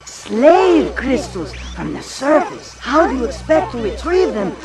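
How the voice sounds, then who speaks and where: An actor playing an unhinged, menacing male mad scientist in a video game, with a halting, erratic delivery. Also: extremely high pitch, sing-song, full character voice. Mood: anxious questioning. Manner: halting, stuttering, erratic, giggling. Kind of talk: video game cutscene acting. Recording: echoey, distant, music underneath.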